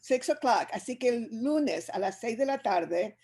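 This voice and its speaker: An older woman with a Chilean Spanish accent, for whom English is a second language. She talks to her audience over a video call in an upbeat, motivational way, with a mellow, warm tone. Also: on the high side, normal speed. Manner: energetic, upbeat, addresses audience directly. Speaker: business speaker. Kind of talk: motivational webinar talk.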